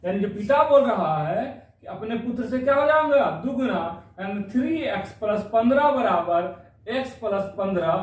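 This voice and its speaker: Man, maths teacher, step-by-step explanations, high voice, roomy echo. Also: far from the mic. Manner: explains step by step, repeats phrases. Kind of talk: exam-prep maths lesson. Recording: echoey room, voice off-mic.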